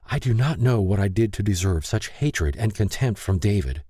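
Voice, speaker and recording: A distraught young woman pours out anguished, pleading questions in prayer, as a male narrator raises his voice to play her. Her lifted voice keeps a husky, dark edge and rises and falls widely. The recording is close and dry, like a storybook reading. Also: fairly low pitch, expressive, normal speed, no room sound, voice pushed up. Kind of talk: audiobook character reading.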